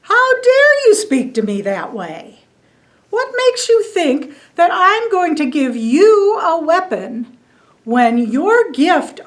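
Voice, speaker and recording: A woman voicing a haughty, sly male king of the gods who speaks in a pompous, slow, deliberate way. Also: sits high, heavily acted.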